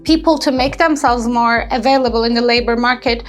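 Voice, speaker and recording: A young woman from Turkey, speaking in a personal testimonial interview as an intern and entrepreneur. She talks earnestly, often pausing with fillers and restarting sentences as she searches for words. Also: on the high side, faint music behind.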